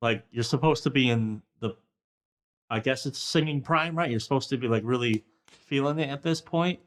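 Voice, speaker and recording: A man in his late thirties hosting an interview, asking questions casually, swearing and thinking out loud. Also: mid-range voice, moderate pace. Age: 30s. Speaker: podcast host.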